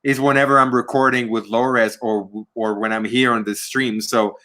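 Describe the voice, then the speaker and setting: A man's voice: medium pitch, fairly even intonation, normal speed, bright, forceful tone. A man in his thirties whose first language is Spanish, speaking English with a Spanish accent mixed with Canadian and British sounds, chatting on a livestream and often hesitating with fillers. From Spanish-speaking country. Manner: hesitant, many fillers and restarts. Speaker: podcast co-host. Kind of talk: casual chat on a livestream. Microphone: video call.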